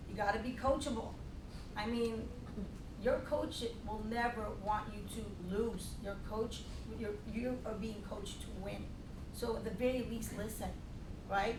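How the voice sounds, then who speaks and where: A Filipino-accented woman coaching a room of colleagues, blunt and direct, tagging her points with "right?" and "okay?". She sounds distant from the mic in an echoey meeting room. A steady rumble of noise sits clearly underneath. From Philippines. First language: a Philippine language. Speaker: financial professional giving a training talk. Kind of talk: motivational training talk to a group.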